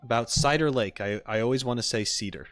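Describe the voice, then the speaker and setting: Informal male streamer, mid-pitched voice. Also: fast, fairly bright tone, faint music behind. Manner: informal, joking, blunt. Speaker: streamer reacting to game videos. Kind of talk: casual chat with stream viewers.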